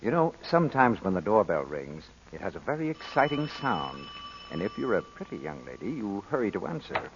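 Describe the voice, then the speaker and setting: A male 1930s radio announcer reads scripted sales copy. His slightly low voice moves with lively emphasis, over a muffled, faintly hissy broadcast. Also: brisk.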